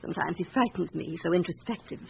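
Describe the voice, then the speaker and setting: An actress in a radio drama playing an anxious, guarded upper-class wife with a formal, earnest manner. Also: female voice, on the low side, fast, creaky. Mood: earnest, confiding. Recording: dull radio recording with background hiss.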